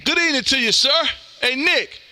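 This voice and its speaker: A loud, folksy man from Mississippi hosting amateur radio contacts with an exuberant, chatty on-air delivery. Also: extremely high pitch, brisk, no echo. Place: indoor home radio room.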